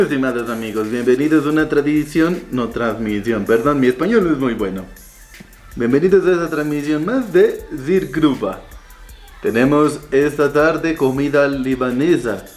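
A man's voice: mid-range voice, a little mellow. Joking man, mock-solemn food presenter. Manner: jokey, faux-solemn, hesitant. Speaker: guest food taster. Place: room in a home, indoors. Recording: roomy echo, faint music.

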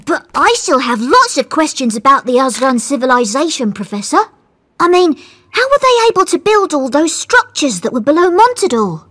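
An actress voicing a curious, admiring boy apprentice in an animated game. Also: high voice, moderate pace.

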